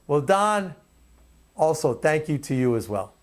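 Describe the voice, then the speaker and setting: A man's voice: fairly high pitch, sing-song, a little slow. A man hosting his own commentary, speaking off the cuff in an emphatic, opinionated way. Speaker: commentator and host.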